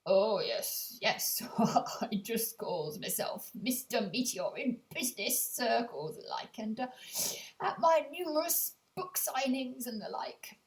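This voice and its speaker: Woman doing a slow, folksy showman guest. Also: a little high, lively intonation, rough recording. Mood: chuffed. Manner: rustic dialect, slow folksy drawl.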